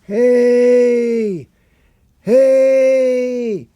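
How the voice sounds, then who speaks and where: A man voicing an excited, urgent young boy in a dramatic story reading. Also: very high-pitched, extremely slow, dry recording, studio quality, voice pushed up, heavily acted. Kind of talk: dramatic audiobook character voice.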